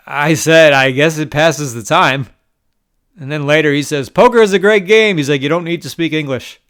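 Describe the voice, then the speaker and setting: A man's voice: fairly high pitch, a bit bright, clear, resonant tone. Wry, exasperated man telling poker stories. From New York. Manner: wry, exasperated storytelling with short laughs. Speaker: poker vlogger. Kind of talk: casual storytelling monologue.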